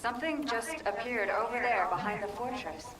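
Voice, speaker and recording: A woman's voice: fairly high pitch, lively intonation, moderate pace, distant mic, close to an everyday voice. An actress voicing a female soldier on watch in a game. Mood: alert.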